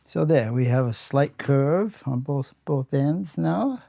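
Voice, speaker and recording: Slow-talking Canadian man with a mellow voice. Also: medium pitch, lively intonation, creaky. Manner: unhurried, plain-spoken, matter-of-fact. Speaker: host of a workshop how-to channel. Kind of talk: casual hands-on demonstration, explaining steps while working.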